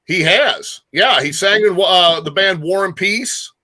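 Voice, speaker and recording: A man's voice: sits high, measured pace, pushed and bright. A man hosting a music show in a casual, informal chat, repeating phrases for emphasis.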